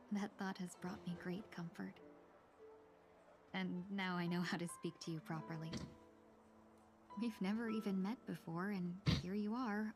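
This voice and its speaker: A woman voicing a courteous yet resolute fox-woman merchant in a video game, speaking formally and eloquently in measured phrases. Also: mid-range voice, lightly acted. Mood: earnest. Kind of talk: scripted video game dialogue. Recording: clear music behind the voice. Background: game music.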